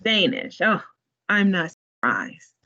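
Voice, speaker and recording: A woman from the library staff demonstrating a language database in an online tutorial, in a relaxed, chatty way. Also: mid-range voice, slow pace. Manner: relaxed, chatty, laughs often. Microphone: video call. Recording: muffled online meeting audio.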